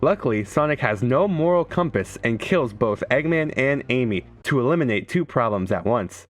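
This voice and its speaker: Young man, mid-pitched voice, irreverent game commentary, sarcastic delivery, light music behind. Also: animated, normal speed. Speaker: video game video creator. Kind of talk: joking scripted game commentary.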